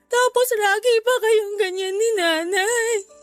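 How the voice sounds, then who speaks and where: Radio drama daughter, played by a woman: young, extremely high voice, weary, hurt, tearful pleading, faint music underneath. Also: slow pace, dry recording. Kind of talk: Filipino radio drama acting.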